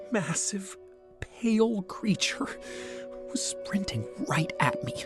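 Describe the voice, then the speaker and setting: A woman narrating a first-person horror story, frightened and at times breathy. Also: a little high.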